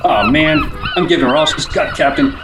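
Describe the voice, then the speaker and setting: A man's voice: on the high side, wildly swooping pitch, moderate pace, reverberant, heavy background noise. A man playing a jokey, boisterous, flirty ship's chef in a radio play, exuberant and clowning. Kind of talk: radio drama acting.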